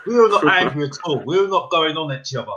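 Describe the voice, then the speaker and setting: A man speaking as a guest in a casual football debate, loud and emphatic, who keeps repeating "you know what I mean". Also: fairly high pitch, expressive, fast talker, highs cut off, over a noisy crowd.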